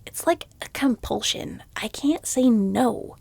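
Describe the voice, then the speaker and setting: A woman's voice: on the high side, expressive, takes it slowly, gravelly, a little mellow, no echo, exaggerated voice. A woman playing a weary, exasperated shopkeeper in a fantasy audio roleplay, reluctantly polite, with dry, muttered sardonic asides. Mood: sheepish.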